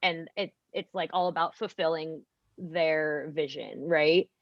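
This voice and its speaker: A young adult podcast guest chatting casually, rambling with lots of "like" and restarts. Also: female voice, sits low, unhurried, dry recording. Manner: rambling, heavy use of "like". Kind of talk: casual chat. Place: college radio studio. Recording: dull lo-fi dry sound.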